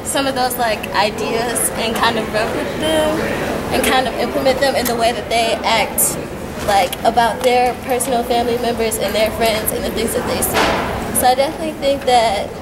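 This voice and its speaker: Student in her twenties, conversational with fillers, bright high register over heavy background noise. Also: moderate pace. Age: 20s. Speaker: student panelist. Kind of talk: casual discussion. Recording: loud background noise and crowd.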